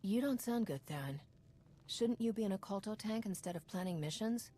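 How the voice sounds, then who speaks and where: An actress playing a confident, steady female Republic hero in a video game cutscene, direct and firm. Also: mid-range voice, moderate pace, natural voice.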